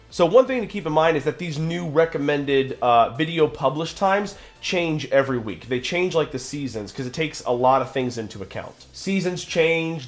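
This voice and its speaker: Man, tutorial host, slightly nasal from a cold, upbeat, chatty, mid pitch. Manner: upbeat, chatty, casual fillers. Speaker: tutorial presenter. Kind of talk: screen-recorded software tutorial.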